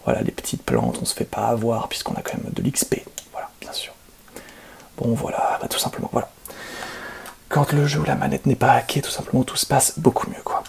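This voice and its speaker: Man playing a smug, creaky, half-whispering speedrunner. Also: mid-range voice, fairly quick, a little mellow. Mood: smug boasting. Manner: smug, hesitant, repeats pet phrases. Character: boastful, excuse-making, obnoxious male video game speedrunner. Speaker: ASMR roleplay performer. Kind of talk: ASMR roleplay, soft gaming commentary.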